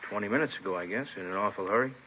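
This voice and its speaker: Quick-talking nosy American neighbor played by a man. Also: lowish voice, lightly acted. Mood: curious. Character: inquisitive, helpful male neighbor. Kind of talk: 1950s radio drama acting. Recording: tinny old radio, faint noise.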